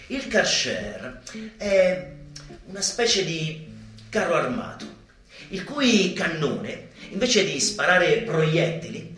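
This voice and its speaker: A man performing a satirical stage monologue in an ironic, emphatic manner with dramatic pauses. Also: fairly high pitch, very animated, fairly bright tone, echoey room. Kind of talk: theatrical satirical monologue in a live show. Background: live guitar music.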